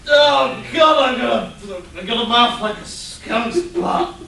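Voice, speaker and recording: A man's voice: very high-pitched, takes it slowly, creaky, pushed and bright, full character voice. A man playing a jaded, boozy superhero sidekick in an amateur comedy radio play, sardonic and irreverent. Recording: roomy, distant live convention recording with noise.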